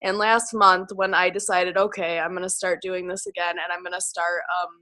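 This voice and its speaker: A woman speaks on a video call with a scratchy voice from a cold. Her tone is bright and sharp, and she rambles chattily with plenty of fillers. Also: lowish voice, little pitch movement, moderate pace. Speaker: team member on a call. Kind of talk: casual call chat.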